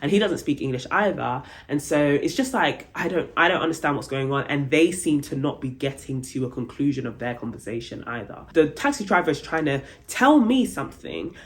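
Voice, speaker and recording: A woman's voice: a little fast, few pauses. Chatty young woman telling a travel story. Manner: animated, acts out dialogue with big pitch jumps; chatty, many fillers like "like" and "um". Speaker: travel vlogger. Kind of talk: casual storytelling to camera.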